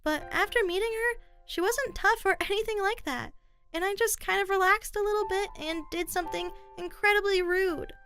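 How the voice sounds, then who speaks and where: A woman's voice: very high voice, moderate pace, bright, forceful tone, soft background music, full character voice. A woman voicing a polite, frank, shy middle-school girl in a manga dub, speaking earnestly and hesitantly. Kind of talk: anime-style manga dub dialogue.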